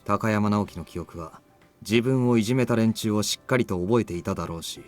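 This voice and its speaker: A calm, serious young man played by an actor in a visual novel game. He speaks quickly in a fairly low voice, plain and unfussed. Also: faint music behind, lightly acted. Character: calm, matter-of-fact young man discussing grim cases. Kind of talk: Japanese visual novel voice acting.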